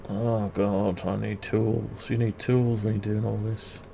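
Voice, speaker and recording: A man's voice: lowish voice, a little slow, creaky. A man muttering to himself and questioning himself as he demonstrates design work, unscripted and thinking aloud. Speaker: video creator demonstrating CAD design. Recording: steady background noise, keyboard typing.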